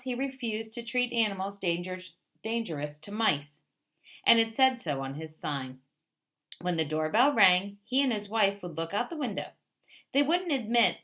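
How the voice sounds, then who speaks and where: A woman reading a children's picture book aloud in an expressive storytelling way. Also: medium pitch.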